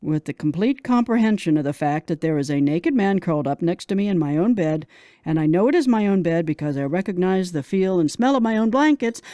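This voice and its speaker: A woman reading a first-person romance audiobook as a narrator, in a dramatic, expressive manner. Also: medium pitch, no echo, clean studio sound.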